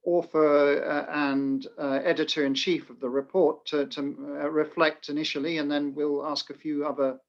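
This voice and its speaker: A man moderating a webinar panel, introducing speakers in a halting way with many filled pauses over a video call. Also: fairly high pitch, flat delivery. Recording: muffled video call audio.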